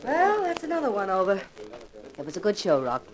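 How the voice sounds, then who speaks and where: An actress playing a brisk, bossy, sardonic female television producer in a 1950s radio drama. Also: mid-range voice, huge pitch swings, poor audio. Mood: wry, confident.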